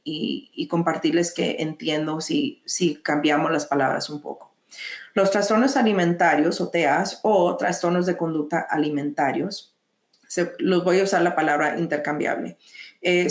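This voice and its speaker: A woman with a Mexican accent presenting a mental health lesson, measured, pausing often to restart phrases. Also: on the low side, echoey room. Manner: measured, with frequent hesitations and restarts. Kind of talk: educational webinar presentation.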